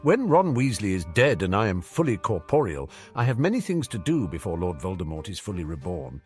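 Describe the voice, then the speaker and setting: Man reading a cold hateful young dark wizard, menacing, spiteful, lively pitch. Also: brisk, faint music behind.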